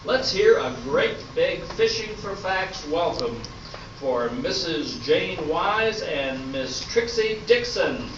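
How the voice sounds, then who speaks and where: Man playing a slick, high-pitched game show host, echoey. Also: lively intonation, slow pace. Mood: showy, upbeat. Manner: showy announcer delivery. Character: slick, self-satisfied male radio game show host. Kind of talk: amateur stage radio play. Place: hall at a live arts festival performance. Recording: distant, echoey, noisy dull live recording.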